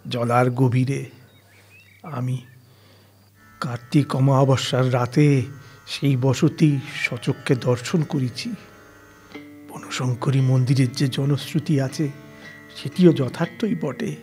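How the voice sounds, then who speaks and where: An actor playing a learned, devout older gentleman in an audio story, troubled and reverent, with formal, archaic speech that halts with emotion. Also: mid-range voice, close to an everyday voice. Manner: formal archaic literary Bengali, halting with emotion. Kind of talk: dramatized audio story acting. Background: plucked string instrument music.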